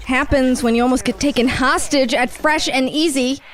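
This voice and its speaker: A woman's voice: high-pitched, fairly even intonation, normal speed, natural voice. An actress playing a sarcastic, defiant young woman in a radio drama. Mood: snide. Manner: sarcastic, challenging.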